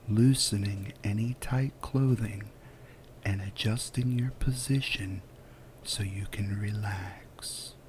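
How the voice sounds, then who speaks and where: A man softly guiding a relaxation visualization in ASMR style, with a breathy, whispery voice and a soothing, unhurried delivery full of pauses. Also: on the low side, a little hiss or hum. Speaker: guided relaxation narrator. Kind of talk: soft-spoken ASMR guided visualization.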